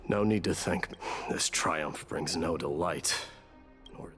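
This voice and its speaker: A man voicing a stern, scholarly warrior in a video game, speaking in a grave, measured and formal way. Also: low voice, fairly bright tone, breathy edge, exaggerated voice. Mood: grave, bitter. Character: solemn, stern, scholarly male warrior with blunt wisdom. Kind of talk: video game voice acting, dramatic dialogue. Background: background music.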